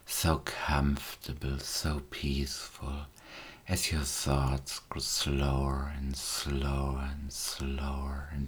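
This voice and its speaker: A man whispers hypnotic suggestions in a breathy, airy hush that sits extremely low and barely moves in pitch. He talks slowly, repeating soothing phrases like an ASMR hypnotist. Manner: slow, soothing, repetitive suggestions.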